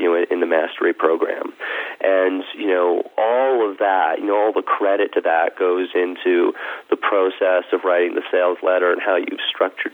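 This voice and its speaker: A man with a croaky voice, chatting casually on a group call and poking fun at himself. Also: deep voice. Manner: chatty, self-mocking, laughs while talking. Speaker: coaching program participant. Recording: tinny phone line.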